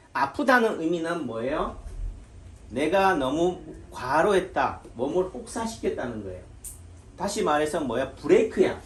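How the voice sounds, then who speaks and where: Rhetorical, higher-voiced man in an echoey room. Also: slight background noise. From Gyeongsang region of Korea. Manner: rhetorical questions answered himself. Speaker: Korean medicine doctor. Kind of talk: informal health lecture.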